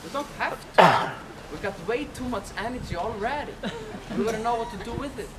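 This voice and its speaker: A man's voice: extremely high pitch, animated, a little fast. A man with an Icelandic accent, guiding a walking tour and chatting with his group, quizzing them with questions. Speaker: tour guide. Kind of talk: guided walking tour talk. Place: outdoors on a city street. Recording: loud street noise, from a distance.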